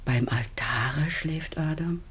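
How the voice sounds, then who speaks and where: An actress playing a loving, emotional matriarch in a classical radio drama, with a breathy voice and fervent, exclamatory delivery. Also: female voice, low voice, takes it slowly, heavily acted. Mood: puzzled. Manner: fervent, exclamatory, swinging from loud to hushed. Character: loving old matriarch, emotional, anxious mother.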